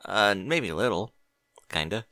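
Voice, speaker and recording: A man's voice: lowish voice, expressive, a little slow, pushed and bright, dry recording, studio quality. A man voicing a young male dragon in an audiobook, chatty and colloquial, a little unsure of himself. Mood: nervous, hesitant. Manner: colloquial, hesitant, questioning. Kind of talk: audiobook character dialogue.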